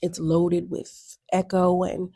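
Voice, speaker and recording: A woman's voice: lowish voice, monotone, slow pace, mellow, husky. A woman in her thirties giving blunt, sassy music commentary for her video channel, with lots of ums and asides. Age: 30s. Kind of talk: casual opinion commentary.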